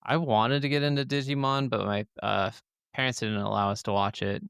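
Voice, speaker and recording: A man's voice: medium pitch, level tone, brisk, creaky, fairly bright tone, smooth, dry recording, clean studio sound. A man co-hosting a gaming podcast in a casual, conversational style. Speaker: podcast co-host.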